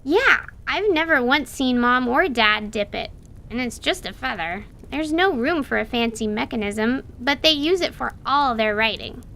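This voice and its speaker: A woman's voice: fairly high pitch, lively intonation, moderate pace, vocal fry, bright, forceful tone, slight background noise, exaggerated voice. A woman voicing a curious, talkative young girl adventurer in an audio drama. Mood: earnest.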